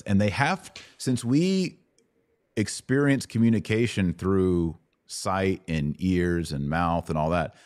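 A man's voice: low voice, a little mellow, no room sound, clean studio sound. A man hosting an informal Bible question-and-answer podcast, explaining things in colloquial language with emphatic repetition. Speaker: podcast host.

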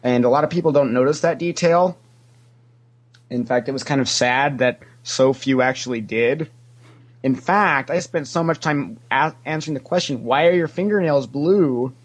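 A man's voice: mid-range voice, normal speed. A man giving casual, anecdotal answers as a podcast guest, a talkative storyteller who acts out what people said. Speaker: podcast guest, former theme park character actor.

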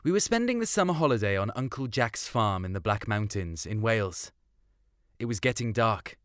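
A man narrates a literary short story in the first person. His voice sits at an average male pitch. The recording is dry, with little room sound. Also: no echo.

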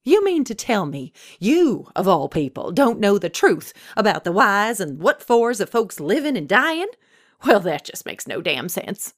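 A woman's voice: lowish voice, lively intonation, a little slow, dry recording, clean studio sound. A woman voicing a feisty, demanding widow, in a told story. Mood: indignant. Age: 80s. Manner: folksy, demanding, scolding. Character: feisty, indignant old Southern woman, nearly eighty-seven.

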